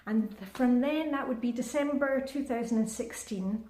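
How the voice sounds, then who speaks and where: A chatty woman in her fifties talks to her viewers on camera in a slightly high voice. She is upbeat and rambling, with plenty of ums and restarts. The sound is roomy and echoey. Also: moderate pace, mellow. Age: 50s. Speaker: vlogger. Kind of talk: casual vlog chat. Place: indoors at home, with a short outdoor clip in the rain.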